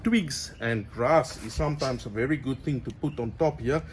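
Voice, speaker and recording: A man's voice: mid-range voice, normal speed, slight background noise. A middle-aged South African man with an Afrikaans accent, chatting outdoors as he shows how to braai, addressing viewers as friends. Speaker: cooking vlogger. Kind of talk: friendly outdoor cooking tutorial.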